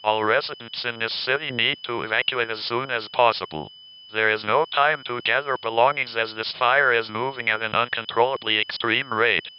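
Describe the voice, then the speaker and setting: A man's voice: medium pitch, fairly even intonation, normal speed, natural voice. A man playing a formal emergency alert announcer, reading a scripted warning. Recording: slightly dull, faint hum.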